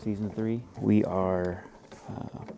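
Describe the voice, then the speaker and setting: A man's voice: on the low side, little pitch movement, slow, gravelly, warm tone, a little hiss or hum. A man outdoors, giving a matter-of-fact on-camera briefing on the morning's hunt. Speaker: hunter.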